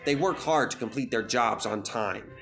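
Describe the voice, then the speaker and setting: A man's voice: medium pitch, normal speed, vocal fry, music in the background. A man narrating a scripted children's story as a dramatic storyteller.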